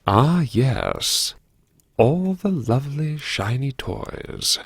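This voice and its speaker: A man playing a wry, self-mocking university vice-chancellor narrating his memoirs in a gravelly, husky voice, savouring his words with arch, dramatic pauses. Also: medium pitch, very animated, no echo, studio quality, heavily acted. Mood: mock wheedling. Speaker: narrator of a serialised audio story. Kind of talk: theatrical first-person audiobook narration.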